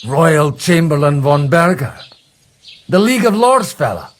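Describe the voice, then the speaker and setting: An actor playing a shrewd, wry, roguish cleric in a video game, with a husky voice and a dry, unfazed manner. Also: male voice, on the high side, very animated, unhurried. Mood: dry, curious.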